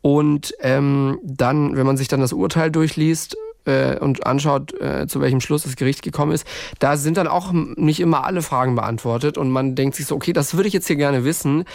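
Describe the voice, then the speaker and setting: A man co-hosting a true-crime podcast, talking casually in a halting, reflective way and often repeating phrases. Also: fairly high pitch, fairly even intonation, creaky, a little mellow, no room sound, studio quality. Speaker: podcast co-host.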